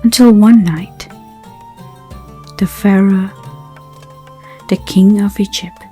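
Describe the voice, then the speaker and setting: A woman narrating a bedtime story in a gentle, soothing, hushed manner, with unhurried phrasing. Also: mid-range voice, fairly even intonation. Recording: soft music under the voice. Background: background music.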